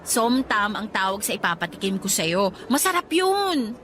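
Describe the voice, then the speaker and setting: An actress with a Filipino accent playing a cheerful, teasing young woman living abroad, in lively radio drama dialogue. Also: medium pitch, normal speed, a little hiss or hum. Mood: playful.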